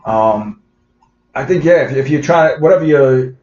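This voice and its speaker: A man's voice: medium pitch, a little slow, darkish tone, slight background noise. A man with a Bronx New York accent, a comedian guest in a casual podcast interview, rambling with lots of fillers and restarts. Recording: roomy, dull podcast audio.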